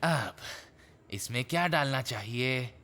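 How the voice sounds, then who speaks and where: Playful old grandpa with lively swoops. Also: male voice, mid-range voice, takes it slowly, heavy background noise. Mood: kindly, chatty. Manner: jovial, theatrical storytelling with chuckles. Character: playful old grandfather zebra, teasing storyteller. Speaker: voice actor. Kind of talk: children's cartoon dub. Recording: music behind the dialogue. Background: music.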